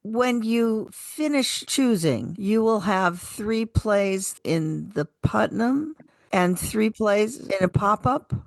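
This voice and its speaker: A woman co-hosts a radio interview with short reactions and questions. Her pitch moves expressively and her pace is unhurried. There is noticeable creak in her voice. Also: animated, no echo. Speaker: radio show co-host.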